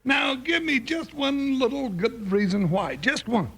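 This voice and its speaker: An actor playing a kindly, folksy old cowboy sidekick with a cracking, wheezy voice in a 1950s Western radio drama. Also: male voice, very high-pitched, a little slow, fairly bright tone, husky, heavily acted. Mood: mock-offended. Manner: folksy Western drawl, swooping, blustery.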